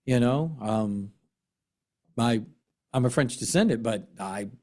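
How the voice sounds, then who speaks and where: A middle-aged man with an American accent, delivering an impassioned commentary monologue in an earnest, emphatic way with dramatic pauses and repetition. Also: mid-range voice, expressive, a little mellow. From United States. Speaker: political commentary host and military veteran.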